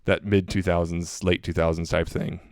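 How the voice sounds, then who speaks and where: Low-voiced man in casual podcast chat. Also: level tone, normal speed, a little mellow, no echo, clean studio sound. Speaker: podcast co-host reviewing fan music.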